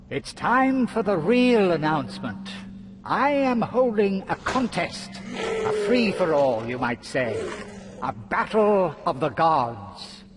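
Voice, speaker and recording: An actor playing a gleeful, taunting trickster villain in a video game, with mocking, theatrical relish. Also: male voice, fairly high pitch, noisy background. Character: gleeful, taunting male trickster schemer holding court.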